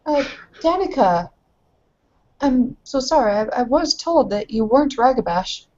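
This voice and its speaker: A woman playing an eager, proud female werewolf newcomer in a roleplaying game, excitable and halting. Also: high-pitched, voice pushed up. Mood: proud, flustered. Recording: dull lo-fi voice recording.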